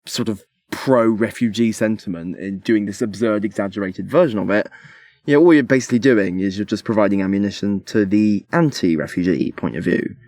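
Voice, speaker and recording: A man's voice: lowish voice, brisk, a little mellow, no echo. A man podcast host giving a casual but argumentative, analytical critique.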